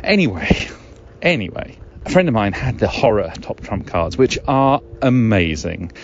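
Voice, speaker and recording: Podcast host, male, middle-aged. Low register, hesitant musing with wry asides, slightly dull recording. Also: sing-song, measured pace, gravelly. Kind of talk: musing solo podcast monologue. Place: outdoors in the woods. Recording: dull lo-fi recording, ambient noise.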